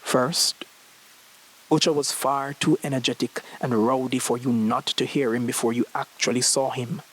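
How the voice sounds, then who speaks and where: Young man narrating a story, medium pitch. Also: level tone, moderate pace, slightly rough, rough recording. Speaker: story reader. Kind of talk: scripted short story narration.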